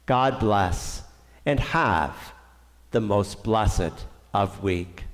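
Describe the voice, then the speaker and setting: A middle-aged man with a Canadian accent, a pastor leading prayer and giving the benediction in a small church, speaking earnestly. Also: lowish voice. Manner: slow, earnest, warm pastoral delivery. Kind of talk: church service closing and benediction. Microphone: far camera. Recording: reverberant church hall.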